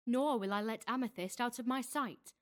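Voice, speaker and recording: A woman's voice: fairly high pitch, moderate pace, lightly acted. A woman playing a protective, disapproving mother on a space expedition in an amateur audio drama. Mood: disapproving, worried.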